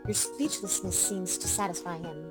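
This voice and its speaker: A woman's voice: fairly low pitch, fairly even intonation, normal speed. A woman reading scripted second-person narration for a story game. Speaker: narrator and player character reader. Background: music.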